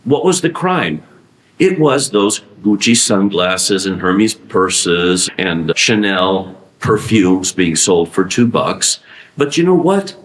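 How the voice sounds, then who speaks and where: Low-voiced man lecturing in an echoey hall. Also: slow pace. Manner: animated, asks questions and answers them himself. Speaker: conference speaker. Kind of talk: conference presentation. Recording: echoey room.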